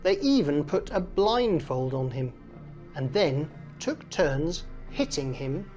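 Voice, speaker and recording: A woman reading a children's story aloud in a deliberate, dramatic style. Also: deep voice, big pitch swings, mellow, soft background music.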